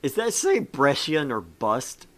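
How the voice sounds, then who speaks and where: A host narrating a visual novel for a gaming show. The voice is bright and forceful, with animated pitch, and moves casually between dramatic storytelling and joking asides. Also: slow pace.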